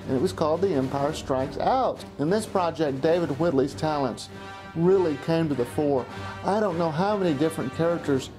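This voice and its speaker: Higher-voiced man narrating over music. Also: normal speed, low-quality recording. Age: 40s. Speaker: narrator looking back on his amateur studio. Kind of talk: scripted documentary narration.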